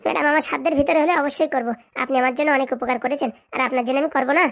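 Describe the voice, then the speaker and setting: A woman's voice: sits high, fairly even intonation, very fast, gravelly, dry recording, studio quality. A woman voicing a polite, deferential young male oil maker in a comedy cartoon. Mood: obliging.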